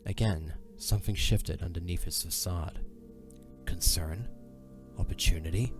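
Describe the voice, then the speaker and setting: A man narrating a fantasy audio drama in a solemn, measured, dramatic storytelling style. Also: low-pitched, music in the background.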